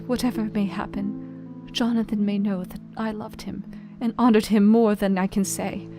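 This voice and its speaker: Radio play: female actor as gentle, devout, uneasy young Victorian wife, mid-pitched, earnest diary reading over music. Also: natural voice. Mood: fearful, devout. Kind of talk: radio play journal narration.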